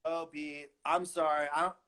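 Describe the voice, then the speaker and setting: Playful middle-aged man, slightly high voice. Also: flat delivery, normal speed, pushed and bright. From Los Angeles. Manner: playful, teasing, laughs often. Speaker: fashion designer guest. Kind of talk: casual video-call chat. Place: his home.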